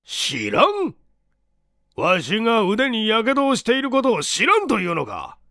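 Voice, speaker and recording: Man acting a cantankerous old professor, animated pitch. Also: measured pace, no echo, studio quality, heavily acted. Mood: scornful. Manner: gruff, blustering, curt. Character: cantankerous, eccentric older male professor who scolds. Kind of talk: audiobook character acting in Japanese mystery story.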